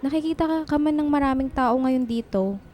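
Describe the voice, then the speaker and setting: A woman's voice: high voice, lively intonation, brisk, mellow, a little hiss or hum. A woman playing a teasing, supportive young best friend in radio drama dialogue, chatty in manner. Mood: cheerful, teasing.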